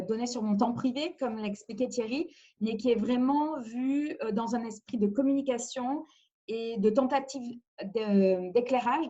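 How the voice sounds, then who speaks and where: A woman's voice: a little high, mellow. A woman from France, an immigration lawyer, giving a webinar presentation over a video call, explaining carefully with frequent hesitations.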